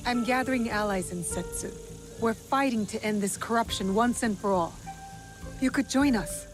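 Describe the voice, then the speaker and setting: A woman playing a no-nonsense, sharp-tongued young fighter with firm principles in a video game cutscene, dry and curt. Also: on the high side, normal speed, close to an everyday voice. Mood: resolute. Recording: music behind the voice.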